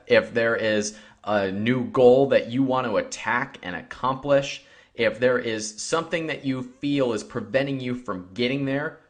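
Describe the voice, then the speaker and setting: A man hosting a podcast, giving an upbeat motivational intro and then interviewing his guest. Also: mid-range voice. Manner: upbeat, motivational, laughs easily. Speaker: podcast host.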